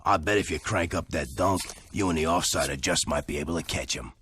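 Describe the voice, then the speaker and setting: A man's voice: a bass voice, a little fast, fairly bright tone, a little hiss or hum. A man voicing a brash, slangy wasteland trader. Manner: blustery, slangy.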